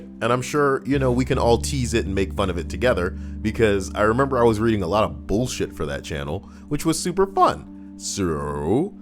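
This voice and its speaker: Male streamer, deep and lively in pitch, casual reader who comments as he goes, guitar music behind. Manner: relaxed, chatty, reacts aloud to what he reads. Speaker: streamer reading stories aloud. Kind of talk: casual livestream reading and chat. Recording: guitar music playing underneath.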